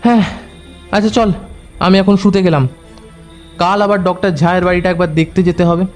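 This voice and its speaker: A man playing a brisk, thoughtful detective in an audio story, speaking in a clipped, conversational way. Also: high-pitched, steady tone, darkish tone, faint music behind, close to an everyday voice. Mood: matter-of-fact. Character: brisk, thoughtful male detective, commanding yet casual. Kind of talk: Bengali audio story acting.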